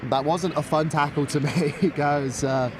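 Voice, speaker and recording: A Scottish man with a fairly high voice talks through the plays in a relaxed, analytical way. He pokes fun at himself now and then. Traffic and outdoor noise are clearly audible behind him. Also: a little slow. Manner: relaxed analytical chat, self-deprecating. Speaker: colour commentator. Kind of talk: live sports commentary.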